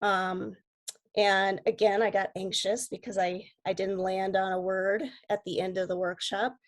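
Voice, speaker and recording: A woman speaks on a video call, her voice a little raspy because she has a cold. She talks in an earnest, open, reassuring way, like a coach speaking to a group. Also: mid-range voice, little pitch movement, a little slow. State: sick with a cold. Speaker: guest coach. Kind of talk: casual video-call talk.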